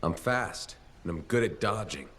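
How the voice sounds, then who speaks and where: A man's voice: sits low, lively intonation, normal speed, a bit bright, slight background noise. A man playing a wry, guarded freelance mercenary in video game cutscene acting, speaking in a dry, sardonic, evasive way.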